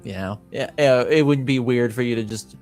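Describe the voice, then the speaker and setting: A man improvising narration as a game master, speaking haltingly as he searches for words. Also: mid-range voice, a little mellow, faint music behind.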